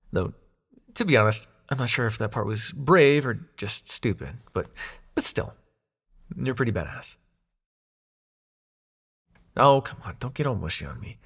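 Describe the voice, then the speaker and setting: A brash, protective hero's ally voiced by a man talks in a husky voice with lively swings of pitch. He is casual and gruffly tender, in the style of an intimate fantasy audio roleplay. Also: full character voice.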